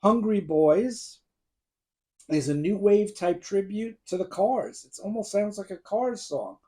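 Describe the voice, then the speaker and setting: A man in his fifties with a gruff, worn voice, enthusiastically ranking albums and listing songs to the camera. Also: sits high, animated, unhurried, mellow. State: gruff, strained voice. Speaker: music video host ranking albums. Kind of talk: casual talk to camera.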